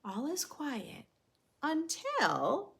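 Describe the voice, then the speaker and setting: A woman reading a children's storybook aloud in a dramatic, playful teacher's way, pausing to put questions to the listener. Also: sits high, huge pitch swings, vocal fry, a bit bright. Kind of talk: expressive storybook read-aloud with teaching asides.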